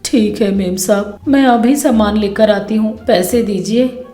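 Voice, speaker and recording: A woman's voice: mid-range voice, a little slow, reverberant, over music, lightly acted. A woman voicing a puzzled, obedient male household servant in a storybook cartoon, deferential and questioning. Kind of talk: animated storybook voice acting.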